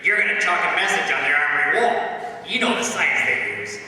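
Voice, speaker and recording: A man plays a cocky racketeer from an old radio crime play. His voice is high and very sharp, with brash gangster slang. He sounds distant in a very echoey hall. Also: sing-song, a little fast, full character voice. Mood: cocky, scheming. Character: cocky, stubborn male gang boss, scheming petty racketeer. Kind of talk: old-time radio crime drama acting. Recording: echoey hall, far from the mic.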